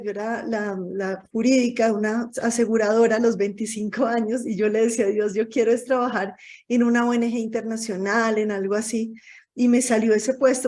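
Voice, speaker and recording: A woman's voice: on the high side, dark tone. A woman in her forties with a Colombian accent, talking casually about herself as an interview guest, often rambling and correcting herself. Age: 40s. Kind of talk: casual autobiographical talk. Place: online video call.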